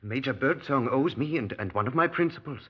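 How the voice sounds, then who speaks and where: A man's voice: mid-range voice, lively intonation, a little fast, breathy edge. An actor playing an anxious, resentful village businessman in a 1950s radio drama, speaking in a nervous, hesitant, indignant manner. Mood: anxious, insistent. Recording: dull old radio recording.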